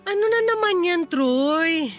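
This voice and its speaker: A woman's voice: extremely high pitch, lively intonation, measured pace. A woman playing an angry, exasperated young wife confronting her husband in an emphatic, indignant radio drama style. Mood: wary, annoyed. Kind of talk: Tagalog radio drama acting. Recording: music behind the voice. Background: music.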